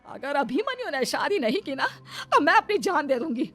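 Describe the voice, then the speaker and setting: A woman's voice: fairly high pitch, a little fast, a bit bright, soft background music, lightly acted. A woman voicing a domineering older mother-in-law in a cartoon family drama, commanding and melodramatic, given to scolding. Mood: threatening, pleading. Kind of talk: dramatised cartoon story dialogue.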